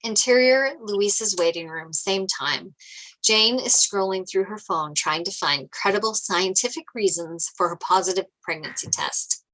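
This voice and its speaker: A woman's voice: mid-range voice, measured pace, fairly bright tone, rough recording. Lady narrator reading out scene directions from a script, mid-range voice. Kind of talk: scripted narration at a table read.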